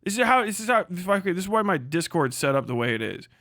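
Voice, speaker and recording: A man's voice: sits high, very animated, fast talker, pushed and bright, no room sound, studio quality. A man on a casual livestream rant, blunt and hectoring, swearing heavily as he speaks. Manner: mocks others in put-on voices. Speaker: livestream host.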